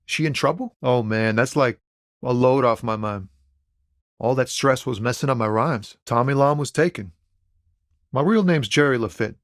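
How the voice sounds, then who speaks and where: A man's voice: medium pitch, no room sound, close to an everyday voice. Man playing a laid-back trucker poet, close dry sound. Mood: resigned, forgiving, then proud, easygoing. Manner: laid-back, slangy, musing, with frequent "man". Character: laid-back, philosophical truck driver and aspiring poet-musician. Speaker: video game voice actor. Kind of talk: conversational video game character dialogue.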